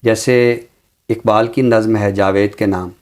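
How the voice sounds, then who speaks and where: A man's voice: on the low side, fairly even intonation, unhurried, a little mellow. A man with a Pakistani accent speaks as a literary scholar on a talk show, explaining books in formal, learned language.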